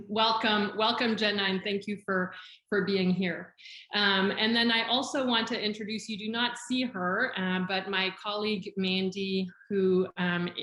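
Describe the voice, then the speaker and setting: A woman's voice: medium pitch, fairly even intonation, a little slow, fairly bright tone, reverberant, rough recording. A middle-aged woman hosting a webinar over a video call, friendly and chatty with frequent fillers. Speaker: skincare company head hosting a webinar. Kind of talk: webinar presentation. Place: video call from home or office. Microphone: video call microphone.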